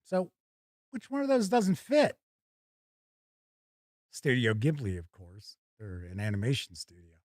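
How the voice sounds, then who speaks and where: A man's voice: mid-range voice, huge pitch swings, measured pace, pauses often, a little mellow, no room sound, clean studio sound. An American man hosting a live tabletop gaming news show, mixing scripted items with casual, theatrical, jokey asides. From United States.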